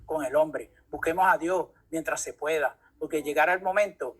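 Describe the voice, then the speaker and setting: A man telling his personal religious testimony in an earnest, conversational way, with halting repetitions. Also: fairly high pitch, fairly bright tone, slightly rough. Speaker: guest giving religious testimony.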